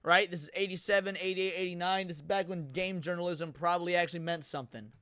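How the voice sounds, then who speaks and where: A man's voice: high voice, steady tone, fast talker. A man giving casual live reaction commentary as a stream host, thinking aloud as he goes. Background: loud music from the watched video.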